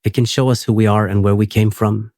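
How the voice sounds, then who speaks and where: Guy reading as a woman historian, earnest, low monotone, dry sound. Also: a little fast, dry recording, natural voice.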